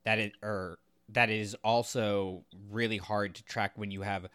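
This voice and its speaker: A man's voice: on the low side, fairly even intonation, normal speed, fairly bright tone, smooth, no echo. A man co-hosting a podcast, talking through a story in a casual, analytical and explanatory way. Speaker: podcast co-host. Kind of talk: casual book discussion.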